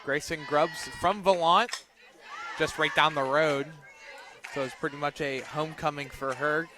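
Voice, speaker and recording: Higher-voiced man calling softball play-by-play. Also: fairly even intonation, measured pace. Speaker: play-by-play sports announcer. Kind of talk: live radio softball play-by-play commentary. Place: outdoor softball field, under a tent.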